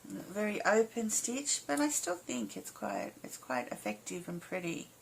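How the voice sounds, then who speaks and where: Female craft tutor, casual and slow, croaky and a touch hoarse, mid-pitched, short informal step-by-step phrases. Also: big pitch swings, slight background noise, rough recording. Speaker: embroidery instructor.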